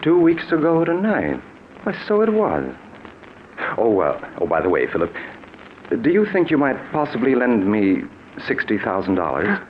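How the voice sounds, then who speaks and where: An actor playing a scheming, evasive blackmailer in an old-time radio mystery, controlling in manner and stammering when cornered. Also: male voice, mid-range voice, wildly swooping pitch, moderate pace. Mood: smooth, evasive. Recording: muffled, noisy vintage radio recording.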